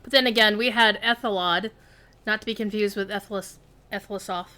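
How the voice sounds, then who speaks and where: A woman's voice: medium pitch, steady tone, fairly quick, bright, forceful tone. An American woman hosting a livestream of an online game, chatting casually with viewers and fellow players. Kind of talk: casual live gaming chat.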